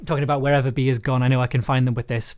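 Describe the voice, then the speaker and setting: Male director, casual session notes, encouraging, tentative rephrasing, medium pitch. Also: little pitch movement, racing, a little mellow. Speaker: director and producer of an audio drama.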